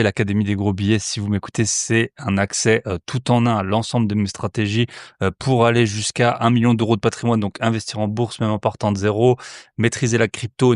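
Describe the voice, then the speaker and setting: French man with a low voice, hesitant. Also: no room sound, clean studio sound. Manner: hesitant, many "euh" fillers. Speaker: podcast host. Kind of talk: podcast ad read and interview intro.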